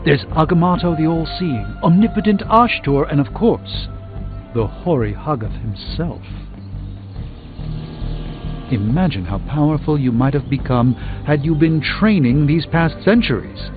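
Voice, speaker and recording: An actor playing a learned, dryly witty sorcerer superhero in a video game, speaking formally and theatrically. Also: male voice, fairly high pitch, lively intonation, normal speed, natural voice. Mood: thoughtful, praising. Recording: dull game audio under music.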